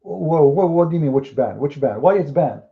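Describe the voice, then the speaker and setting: A man with an Arabic accent argues his point hard in a live debate, pressing his opponents with mocking rhetorical questions. His voice sits a little high for a man. The audio is thin and boxy, like a phone line. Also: animated, normal speed, vocal fry. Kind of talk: heated live online debate.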